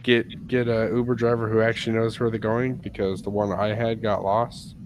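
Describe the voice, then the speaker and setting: A man's voice: on the low side, level tone, moderate pace, a little mellow, slight background noise. An American man co-hosting a casual podcast chat, telling stories with lots of laughs. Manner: chatty storyteller, laughs often. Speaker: podcast co-host.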